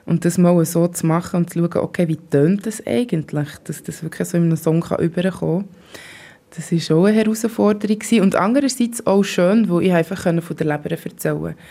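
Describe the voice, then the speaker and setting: A woman in her thirties with a Swiss German accent, a singer answering interview questions thoughtfully, with fillers and pauses, in a basement rehearsal room. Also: fairly low pitch, mellow. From Switzerland. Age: 30s.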